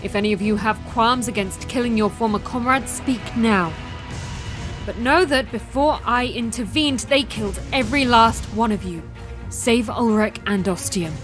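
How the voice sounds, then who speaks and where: A fierce, vengeful warrior princess, acted by a woman for an audio drama. Her voice sits fairly high and she speaks in terse, formal commands. Music plays clearly underneath. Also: normal speed, close to an everyday voice. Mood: grave, commanding. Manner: terse, authoritative, formal orders. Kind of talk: fan audio drama acting.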